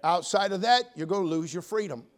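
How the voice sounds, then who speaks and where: This is an older man, in his seventies, with a noticeably high-pitched voice. He preaches like a folksy Baptist pulpit veteran. He pushes hard on key phrases, then drops into quieter, joking asides. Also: fairly quick. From United States. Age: 70s. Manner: folksy, emphatic, swinging from loud exhortation to quiet joking asides. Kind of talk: sermon.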